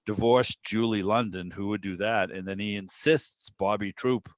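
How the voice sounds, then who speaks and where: Male meeting host, lowish voice, rambling and enthusiastic casual talk, muffled video-call sound. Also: fairly even intonation, moderate pace. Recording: dull, roomy video-call audio.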